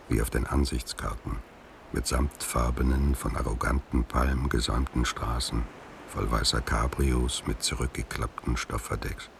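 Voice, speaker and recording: An actor playing a wistful man recalling an old love, in measured, reflective, literary radio drama narration with a very deep gravelly voice. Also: noisy background, exaggerated voice.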